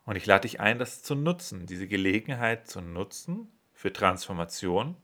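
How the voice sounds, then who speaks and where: Calm man guiding a meditation. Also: on the low side. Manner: slow, calm, soothing instructions. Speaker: meditation guide. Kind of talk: guided meditation.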